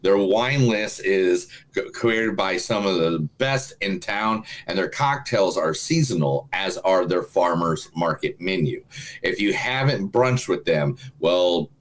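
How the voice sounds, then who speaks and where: A man with a fairly low voice co-hosts a movie podcast. He talks in a loose, laid-back way, drifting into rambling asides even while reading a sponsor spot. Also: a little slow. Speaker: podcast co-host. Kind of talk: casual chat and read sponsor ad.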